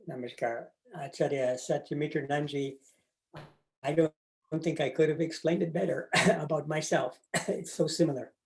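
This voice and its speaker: Older guy, gravelly creaky voice, thoughtful and pausing, talking over a call. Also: mid-range voice. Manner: thoughtful, pausing with fillers, light laughs. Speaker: interview guest, monk. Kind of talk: reflective personal account.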